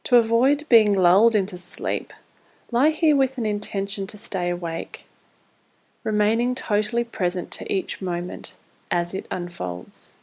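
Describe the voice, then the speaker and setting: A woman leading a guided body scan meditation, giving scripted instructions in a calm, measured, soothing way. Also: medium pitch.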